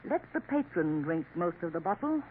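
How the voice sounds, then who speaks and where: A woman's voice: lowish voice, sing-song, a little fast. An actress in a 1950s radio drama playing a scheming, worldly Parisian café woman who coaxes and drops French endearments. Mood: sly. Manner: coaxing, knowing, sprinkles in French endearments. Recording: tinny old radio broadcast with faint hiss.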